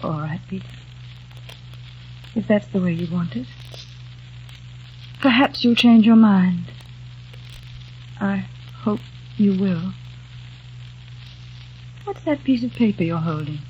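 An actress playing an anxious, caring young fiancée in a 1940s radio drama, speaking in a pleading, breathy way. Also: female voice, medium pitch, lively intonation, slow, long pauses, slight background noise. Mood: hurt, worried. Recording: dull lo-fi old radio recording.

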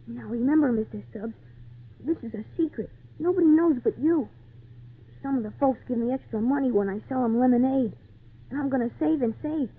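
A woman's voice: high-pitched, brisk, slightly rough. A woman playing an earnest, polite, folksy little boy in an old-time radio drama. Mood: secretive, determined. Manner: folksy, wide-eyed, hesitant. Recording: tinny band-limited 1940s radio recording.

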